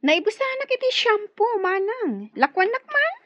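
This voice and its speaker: A woman's voice: extremely high pitch, sing-song, normal speed, a bit bright, no room sound, heavily acted. A woman playing a forward, sweet-talking young single woman in a radio drama, with an Ilocano Filipino accent. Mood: sweet, eager. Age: young adult. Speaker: voice actress. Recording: dull lo-fi radio drama audio.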